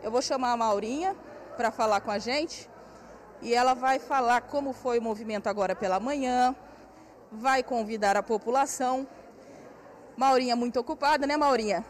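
A woman's voice: fairly high pitch, moderate pace, slight background noise. A woman in her thirties with a Brazilian accent, doing a live TV field report at an indoor craft fair on a handheld mic, chatty and guiding viewers around. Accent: Brazilian Portuguese. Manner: chatty, walks and points while talking. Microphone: handheld station mic.

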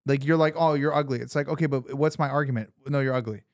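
A man's voice: mid-range voice, steady tone, fast talker, no room sound, studio quality. A man co-hosting a podcast, rambling and emphatic with many restarts. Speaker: podcast co-host. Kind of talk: casual chat.

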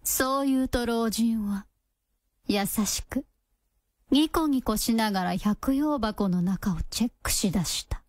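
A woman's voice: on the high side, slow pace, no echo, clean studio sound. A woman reading scripted narration for a radio drama as its storyteller.

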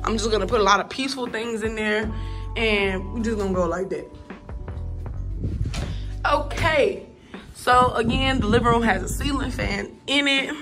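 A woman's voice: mid-range voice, fairly bright tone. Chatty woman touring an empty apartment. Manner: chatty, informal slang, addresses viewers directly. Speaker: vlogger giving an apartment tour. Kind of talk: casual apartment tour vlog. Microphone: phone camera held while walking.

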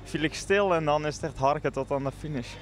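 A man's voice: a little high, fast talker. A young man answering as an athlete in a post-race interview into a handheld mic outdoors. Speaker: professional cyclist interviewee. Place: outdoors by team truck in street. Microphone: handheld reporter mic. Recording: outdoor street ambience with vehicle noise.

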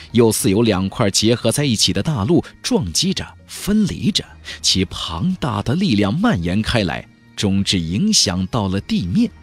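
Deep, very animated male audiobook narrator. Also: husky. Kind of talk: dramatic scripted narration of a fantasy novel. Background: faint background music.

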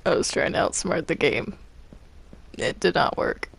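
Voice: mid-range voice, lively intonation, measured pace, vocal fry, fairly bright tone, husky. A video game player chatting casually during play, hesitant and stammering over repeated words. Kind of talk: casual gaming chat while playing Minecraft roleplay.

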